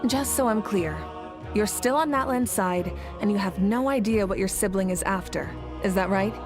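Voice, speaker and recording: A woman's voice: mid-range voice, moderate pace, lightly acted. Woman acting an assured leader over music. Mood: frank. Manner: assured, frank. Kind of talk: video game character acting. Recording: music under the dialogue.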